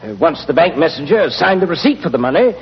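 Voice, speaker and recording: An anxious banker in an old radio play, earnest and dramatic. His voice is high and animated, on a muffled vintage recording. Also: fast, a little hiss or hum. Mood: worried. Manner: hesitant starts, dramatic telling. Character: anxious, earnest English banker recounting robberies. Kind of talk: 1940s radio acting.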